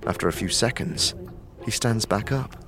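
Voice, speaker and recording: A male narrator with a very low, deep voice tells a story steadily in the second person. His delivery is descriptive and scripted. Music plays clearly behind him. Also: moderate pace.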